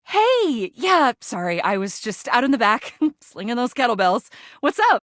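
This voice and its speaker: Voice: mid-range voice, very animated, measured pace, a bit bright, no echo, clean studio sound. A performer voicing an upbeat, chatty date companion in a video game, with a breezy, informal manner. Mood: cheerful, excited. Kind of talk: video game character dialogue.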